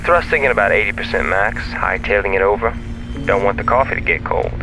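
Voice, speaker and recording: Low-voiced, teasing space pilot on radio. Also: male voice, brisk, music in the background, rough recording. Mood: teasing, breezy. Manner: casual, teasing. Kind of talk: science fiction audio drama acting.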